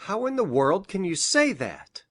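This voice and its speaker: A woman's voice: medium pitch, very animated, unhurried. A woman voicing an earnest, scholarly male doctor with a formal, emphatic manner in an audiobook. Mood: doubtful. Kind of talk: audiobook character reading.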